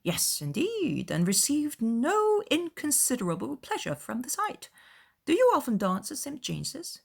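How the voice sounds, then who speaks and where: Woman acting an effusive, swooping gallant older gentleman. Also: sits high, huge pitch swings, unhurried, creaky, slightly rough, voice pushed up, full character voice. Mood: effusive, courtly. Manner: gushing, courtly.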